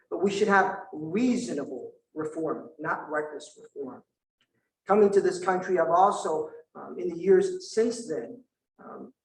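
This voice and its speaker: A middle-aged man from Vietnam, a native Vietnamese speaker, delivers a stump speech in a notably high voice. He punches out rhetorical lists with deliberate pauses. He is picked up from some distance in a reverberant hall. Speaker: political candidate at a forum. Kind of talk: campaign speech and forum answer. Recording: roomy hall, distant mic.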